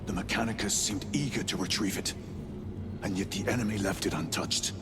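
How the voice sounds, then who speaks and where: An actor playing a dutiful, probing space marine lieutenant, formal and terse, in a dramatic video game style. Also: male voice, fairly low pitch, fairly even intonation, a little fast, over loud music, natural voice.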